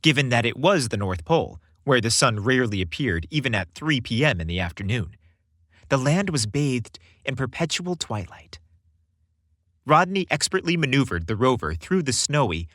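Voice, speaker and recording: A man narrating a scripted story in a polished, expressive storytelling manner. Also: mid-range voice, dry recording, clean studio sound.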